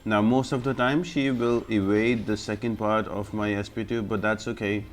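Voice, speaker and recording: A man's voice: on the low side, steady tone, moderate pace. A man giving explanatory gameplay commentary as a guide host, in an instructional, step-by-step manner.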